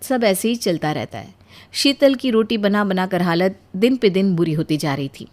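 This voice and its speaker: A woman's voice: mid-range voice, expressive, moderate pace, darkish tone. Woman narrator, mid-range voice, scripted storytelling, cartoon tale.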